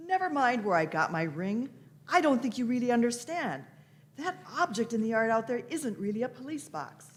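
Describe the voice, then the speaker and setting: A woman acting an eccentric old lady who travels through time, in a radio play. Her voice is fairly high and swings freely in pitch. She speaks in lofty, old-fashioned phrases, evasive and merry. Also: sing-song, moderate pace. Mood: insistent. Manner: chuckling, old-fashioned grand phrasing with repeated words.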